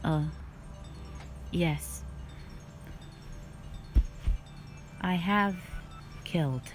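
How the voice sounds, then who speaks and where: A low-voiced female dragon guardian, acted by a woman, stern yet calm and bound by duty. She talks very slowly in measured, formal phrases, like a solemn warrior in an audio drama. Music plays underneath. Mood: solemn, explaining. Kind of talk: scripted roleplay audio drama monologue.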